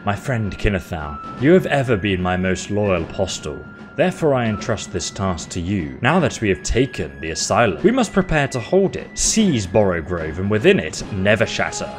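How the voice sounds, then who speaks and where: Guy with a lowish voice commentating his game, kinda theatrical and jokey, soundtrack playing behind. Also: big pitch swings, moderate pace, few pauses, soft background music. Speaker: gaming video host. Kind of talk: casual game playthrough commentary.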